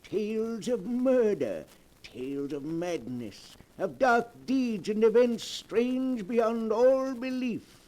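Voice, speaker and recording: An actor playing an eerie keeper of a book of dark tales, narrating with ominous, melodramatic relish in 1940s radio horror style. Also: male voice, sits high, lively intonation, slow pace, rough recording.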